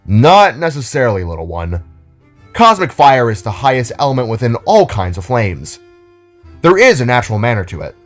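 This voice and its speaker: A man's voice: mid-range voice, big pitch swings, moderate pace, soft background music, natural voice. A man voicing an older, kindly and enthusiastic robot teacher with a theatrical, explanatory way of talking. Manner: showy, explanatory.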